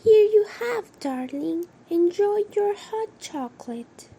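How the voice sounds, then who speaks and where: A woman's voice: very high-pitched, animated, takes it slowly, vocal fry, dark tone, full character voice. A woman acting a protective, anxious farmer grandmother in an amateur radio drama. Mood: reassuring.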